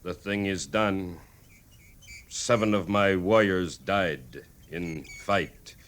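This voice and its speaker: A proud, stern war chief from a vintage radio Western. His voice is low and his speech extremely slow. He talks in terse, solemn, halting phrases, as in fifties radio drama. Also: a bit bright. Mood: grave, resigned. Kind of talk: 1950s radio Western acting.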